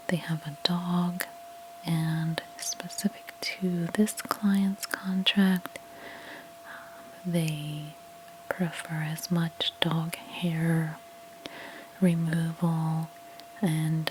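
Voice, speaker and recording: A woman speaking in a soft, breathy near-whisper, gently explaining each step in an ASMR roleplay narration. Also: sits low, a little hiss or hum, rough recording. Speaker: ASMR creator playing a house cleaner.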